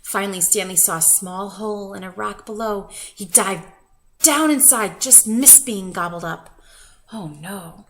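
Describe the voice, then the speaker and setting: A woman reading a children's picture book aloud expressively, with questioning asides to young listeners and drawn-out emphasis. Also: medium pitch, a bit bright. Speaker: children's story reader.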